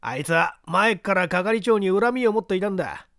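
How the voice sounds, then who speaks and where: A man voicing rough rustic construction laborers in a dramatic audiobook reading, with blunt colloquial speech. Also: high-pitched, lively intonation, brisk, fairly bright tone, dry recording, clean studio sound, pitched above the performer's own voice, heavily acted. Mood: suspicious, accusing. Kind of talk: dramatic audiobook character acting.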